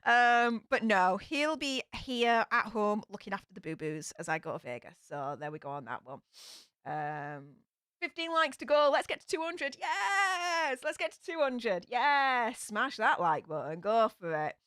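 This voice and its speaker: A woman's voice: medium pitch, a bit bright, smooth, no echo, clean studio sound. A woman with a broad Yorkshire accent hosting a casual live stream, reading out chat comments in a blunt, feisty way. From Yorkshire, England.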